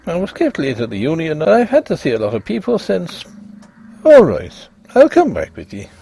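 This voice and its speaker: A man voicing a blunt, rational young doctor in a ghost story, brisk, emphatic and colloquial. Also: on the high side, wildly swooping pitch, vocal fry, mellow, slightly rough, voice pushed up, full character voice. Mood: businesslike, agreeable.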